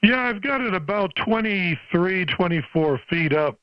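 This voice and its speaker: A man's voice: high voice, animated, a little slow, very noisy. Older American man over dull ham radio. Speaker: amateur radio operator. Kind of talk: casual ham radio chat. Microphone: radio microphone.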